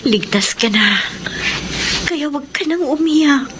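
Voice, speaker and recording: High-voiced protective eldest daughter. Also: female voice, expressive, slow. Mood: gently reassuring. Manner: emotional, urgent, consoling. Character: protective adult eldest daughter, anxious but steadfast. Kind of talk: Filipino radio drama acting.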